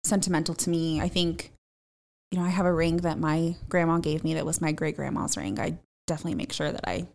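A woman's voice: deep voice, a little fast, gravelly, darkish tone. A woman guesting on a podcast at home, chatting casually in a dry, matter-of-fact way and laughing often. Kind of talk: casual chat.

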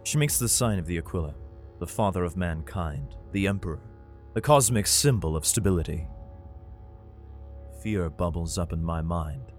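A man's voice: low voice, expressive, normal speed. A man acting a worried, protective father who narrates his own story in a sci-fi audio drama. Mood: brooding, weary. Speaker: narrator and lead actor. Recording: loud music under the voice. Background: dramatic music.

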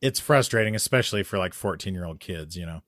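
A man in casual chat, telling a long story that gets louder and more animated as it goes. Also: low-pitched, fast, dry recording, clean studio sound. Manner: animated storytelling, rising loud when excited.

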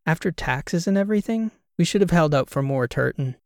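A man voicing a sharp-tongued female podcast co-host who talks with dry sarcasm. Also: on the high side, animated, brisk, no echo, voice pushed up. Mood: sardonic.

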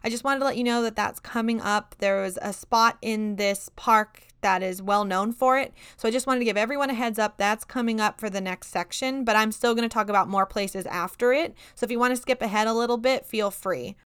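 A woman's voice: fairly high pitch, fairly quick, hardly any pauses, a bit bright, clear, resonant tone, dry recording. A woman hosting a podcast, reading scripted ghost stories in an earnest, plain manner while her voice is starting to give out. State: losing her voice. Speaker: podcast host.

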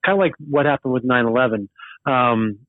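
A man's voice: mid-range voice, fairly quick, dry recording. Laid-back man on a tinny line. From Eugene, Oregon, USA. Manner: easygoing, laughs often, rambling storyteller. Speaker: guest. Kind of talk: casual podcast chat. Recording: tinny phone-like remote line.

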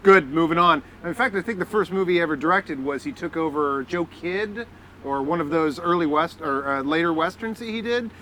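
Bright-voiced chatty male host. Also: on the high side, brisk, slight background noise. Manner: chatty, lots of "like". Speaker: podcast co-host. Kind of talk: casual chat. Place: outdoors on a busy street.

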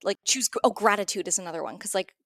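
A woman's voice: medium pitch, fast talker, no echo. Woman guest in a dry, close recording. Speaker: podcast guest. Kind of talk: casual conversational interview.

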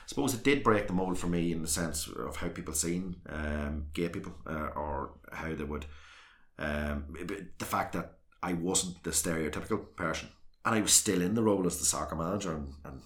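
Man, South Armagh accent, very deep low voice, candid interview guest, rambling restarts. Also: moderate pace, a bit bright. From South Armagh, Northern Ireland. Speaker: guest, councillor and former soccer manager. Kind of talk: earnest conversational interview.

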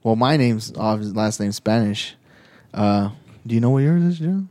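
A man's voice: on the low side, animated, moderate pace, mellow. A man co-hosting a casual podcast, joking and laughing often. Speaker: podcast co-host.

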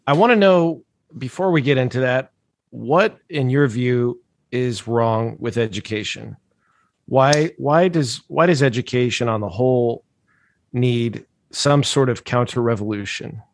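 A man's voice: mid-range voice, measured pace, dry recording. A man hosting an interview, asking earnest, inquisitive questions. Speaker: interview host.

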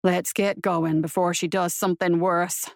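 A woman voicing a gruff, weary male marshal in an audiobook, grumbling in a dry, wry way. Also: fairly low pitch, flat delivery, normal speed, no room sound, pitched below the performer's own voice, lightly acted. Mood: annoyed. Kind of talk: audiobook character voice.

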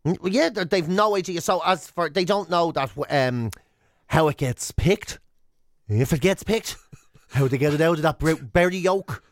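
A man's voice: on the high side, lively intonation, moderate pace, dry recording. A man with an Irish accent co-hosting a radio show, bantering casually with blunt, sweary, self-mocking humour.

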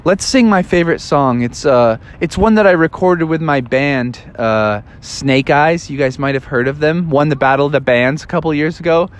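A man's voice: on the high side, moderate pace, hardly any pauses, voice pushed down, close to an everyday voice. A man voicing a laid-back, grumpy young male animal who talks in slangy dude speak and whines a lot. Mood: grumbling. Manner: slangy surfer-dude talk, whiny.

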